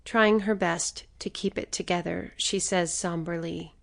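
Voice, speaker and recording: A woman's voice: lowish voice, fairly even intonation, unhurried, vocal fry, a bit bright. A woman reading scripted story narration for an audiobook.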